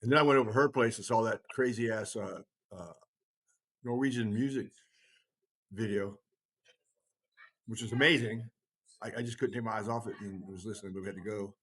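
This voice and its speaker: Husky, low-voiced man, rambling casually. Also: fairly bright tone. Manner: halting, circling back, repeats himself. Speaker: podcast co-host, heathen group leader.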